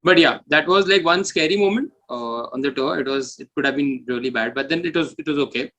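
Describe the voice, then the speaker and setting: A man's voice: on the high side, fairly quick, pushed and bright. A man in a casual interview chat, speaking informally and repeating phrases for emphasis. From India. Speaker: metal musician, interview guest.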